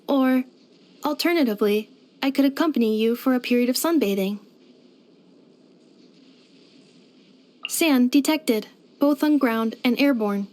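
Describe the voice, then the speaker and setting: A woman's voice: on the high side, a little mellow, close to an everyday voice. A woman voicing a polite, helpful robot maid companion in a video game, speaking in a formal, precise, earnest way. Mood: matter-of-fact, helpful. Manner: formal, precise, clipped system-report phrasing. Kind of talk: scripted video game character voice lines.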